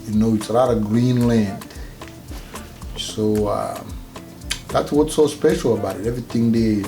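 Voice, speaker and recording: A man's voice: on the low side, measured pace, music in the background. A man from Rwanda with a Rwandan accent, telling personal stories casually as an interview guest. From Rwanda, grew up partly in Kenya.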